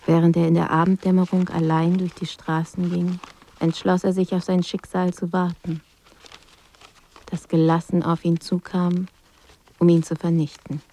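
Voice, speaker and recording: A woman narrating a literary radio drama in a calm, restrained and measured manner. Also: on the low side. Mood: quiet, melancholy. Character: restrained, somber female narrator of a writer's decline.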